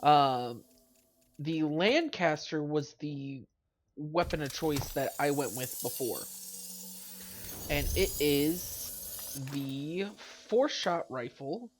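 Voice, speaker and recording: A man's voice: a little high, drawn-out words, a little hiss or hum. A man with a stuffy nose from a cold, casually commenting on his gameplay and rambling through weapon stats, correcting himself as he goes, with faint game music behind him. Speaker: let's play host.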